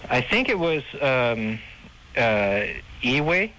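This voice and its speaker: A male radio caller from California with a mid-pitched, forceful, bright voice. His casual talk is halting and repetitive, over faint noise. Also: big pitch swings, rough recording.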